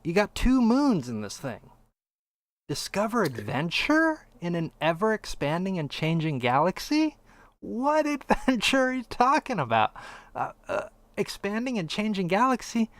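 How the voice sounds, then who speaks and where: A man's voice: sits high, huge pitch swings, unhurried, a bit bright, dry recording. A man co-hosting a casual podcast, reacting to a game trailer with sarcastic disbelief. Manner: sarcastic, incredulous. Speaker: podcast co-host. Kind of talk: casual chat reacting to a video.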